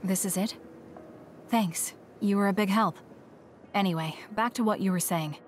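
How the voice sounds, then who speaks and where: A woman's voice: mid-range voice, normal speed, a little hiss or hum, lightly acted. An actress playing an aloof young female soldier in an anime-style video game, curt and matter-of-fact.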